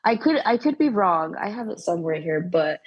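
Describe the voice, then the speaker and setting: Woman, podcast guest, low pitch, husky, casual interview chat. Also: big pitch swings, brisk, muffled recording. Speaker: podcast guest, pro cornhole player.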